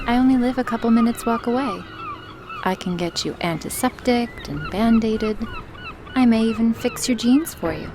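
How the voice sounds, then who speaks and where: A woman's voice: a little high, expressive, moderate pace, background noise, close to an everyday voice. A woman playing a wry, helpful, unflappable young woman with a dry, teasing manner in a comic dub. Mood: wry, caring.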